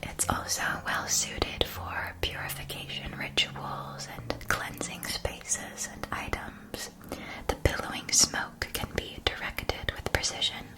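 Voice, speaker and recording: A woman's voice: lightly acted, low-quality recording. Woman as a whispering witch-shop keeper. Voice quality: soft, breathy whisper. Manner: slow, gentle, measured, attentive salesmanship. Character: courteous, helpful woman shopkeeper at a witch school supply store. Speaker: ASMR roleplay performer. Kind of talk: whispered ASMR shopkeeper roleplay.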